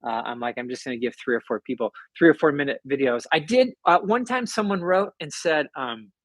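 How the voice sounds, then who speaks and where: A man with a soothing, somewhat high-pitched voice talks casually on a livestream. His delivery is conversational and rambling, with a self-deprecating touch. The sound is dry, with no room echo. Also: fairly quick. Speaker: livestream host, author. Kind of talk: casual livestream chat, reading and answering viewer comments.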